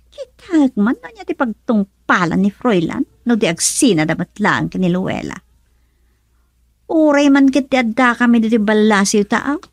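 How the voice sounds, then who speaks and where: A woman's voice: mid-range voice, no echo. An actress playing a scheming, mocking woman in a radio drama, taunting and theatrical. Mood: scheming, gloating.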